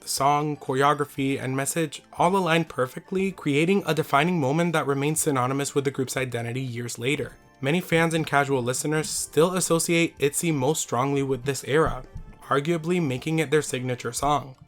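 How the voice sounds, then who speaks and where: A man hosting a scripted video essay, his voice slightly raspy from losing it, reading with enthusiasm. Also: fairly high pitch, brisk, soft background music.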